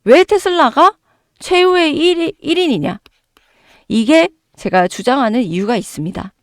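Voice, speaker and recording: A fairly high-voiced woman explains the market to her viewers with emphatic, rhetorical questions. Her voice is hoarse from a cold, yet her pitch swings widely. The sound is close and dry. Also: animated, moderate pace, no echo. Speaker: stock market commentator.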